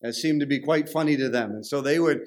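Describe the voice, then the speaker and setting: A man's voice: mid-range voice, quick pace, a little mellow. A man with a Canadian accent preaching a sermon in a conversational, storytelling way. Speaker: pastor.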